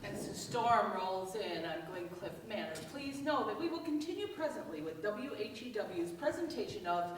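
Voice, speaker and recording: A woman's voice: medium pitch, expressive, moderate pace, hardly any pauses, a crowd in the background, natural voice. A woman playing a dramatic announcer in an old-time radio show. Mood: theatrical. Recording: echoey hall, distant mics, noisy.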